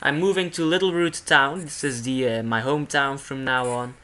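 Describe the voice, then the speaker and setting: A man's voice: medium pitch, fairly even intonation, moderate pace, pushed and bright, slight background noise. A young man from Belgium giving casual let's play commentary, rambling and often interrupting himself as he goes. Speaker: video game streamer.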